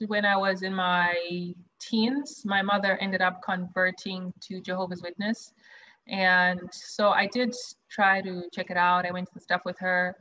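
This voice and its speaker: A young woman from Zimbabwe tells her own story over a video call, chatty and candid, often pausing on fillers and restarting her sentences. Her voice sits at an ordinary pitch for a woman. The call audio is a little dull and lo-fi. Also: fairly bright tone. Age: young adult. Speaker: guest. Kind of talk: personal testimony on a video call.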